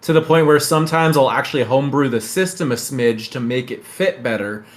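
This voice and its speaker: A man's voice: medium pitch, brisk. Man chatting with casual enthusiasm. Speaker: podcast host.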